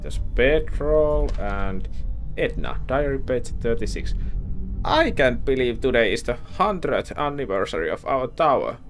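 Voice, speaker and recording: A man's voice: mid-range voice, lively intonation, measured pace. Young man casually narrating his gameplay. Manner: casual, thinking aloud, wondering at the game. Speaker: gameplay streamer. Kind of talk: let's-play commentary and reading in-game diaries aloud. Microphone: headset. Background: game music.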